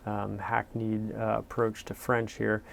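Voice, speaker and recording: A man's voice: fairly low pitch, monotone, unhurried, gravelly. A man hosting a casual car review show, rambling and self-deprecating with lots of filler words.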